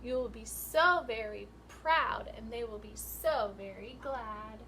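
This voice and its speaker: A woman's voice: fairly high pitch, slow, pushed and bright, slight background noise, poor audio. A woman teacher reading a picture book aloud to young children in an instructional way, with questions and prompts.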